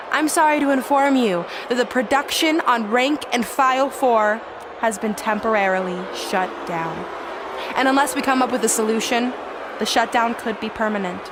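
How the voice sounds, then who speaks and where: A self-absorbed Hollywood actress and fighter , acted by a woman with a high voice. She talks down to everyone in theatrical wrestling game promos, over clear background noise. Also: lightly acted. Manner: condescending, theatrical.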